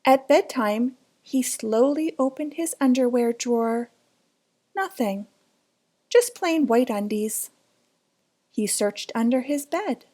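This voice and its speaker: Woman doing a kids read-aloud, slowish and suspenseful, highish voice, stretches words out. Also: animated, dark tone. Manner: dramatic, suspenseful delivery with drawn-out words. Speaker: storybook reader. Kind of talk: children's picture book read-aloud.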